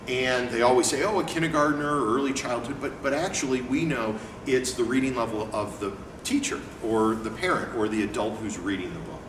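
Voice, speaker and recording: Man in an echoey, noisy room. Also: medium pitch. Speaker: educator and book company owner reading aloud. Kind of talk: picture book read-aloud and informal teaching talk. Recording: echoey room, distant mic, clear background noise.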